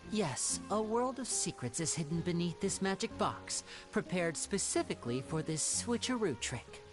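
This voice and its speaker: A man's voice: sits high, big pitch swings, moderate pace. A man playing a courteous, theatrical young male stage magician in a game. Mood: suave. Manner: smooth, courteous, teasing. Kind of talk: game cutscene voice acting. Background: game music.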